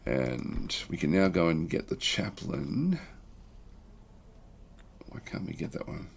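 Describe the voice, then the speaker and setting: A man with a croaky, recovering voice giving casual, halting livestream commentary as he plays a strategy game and chats with viewers. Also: low voice, sing-song, slight background noise. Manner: halting, thinking aloud. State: croaky throat, recovering from feeling unwell. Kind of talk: casual game commentary, reading and answering chat.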